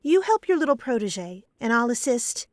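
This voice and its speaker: Woman playing a stern, higher-pitched potion merchant. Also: very animated, moderate pace, no echo. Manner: sardonic, poised, blunt. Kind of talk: fantasy audio drama acting.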